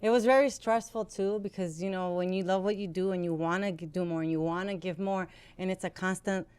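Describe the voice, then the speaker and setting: A woman's voice: on the low side, fairly even intonation, a little fast, a little mellow, clean tone. A woman speaking as a podcast guest and former radio host, candid and conversational, with an earnest, reflective way of talking.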